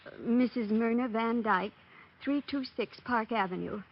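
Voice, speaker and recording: A refined young heiress in an old radio detective drama. Her voice is fairly high, composed and courteous with a slightly coy air. The recording is muffled and vintage. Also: moderate pace. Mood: concerned, businesslike. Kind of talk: golden-age radio acting.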